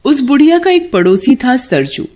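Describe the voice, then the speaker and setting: A woman's voice: sits high, very animated, normal speed, a little mellow. A woman storyteller reading scripted narration for a cartoon folk tale.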